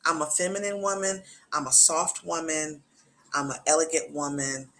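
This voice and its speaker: A woman's voice: sits low, fairly even intonation, normal speed, a bit bright, slight background noise. A middle-aged woman hosting a talk show, speaking in an earnest, repetitive way and often checking in with the listener. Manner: earnest, repetitive, often asks "you know what I'm saying". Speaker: host. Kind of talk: casual talk show chat.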